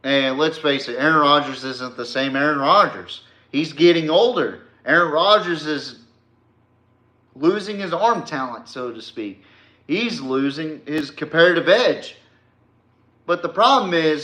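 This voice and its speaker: A man's voice: on the high side, a bit bright. A man hosting a sports podcast, giving a blunt, slangy, opinionated rant with swearing and emphatic direct address. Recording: echoey, reverberant room.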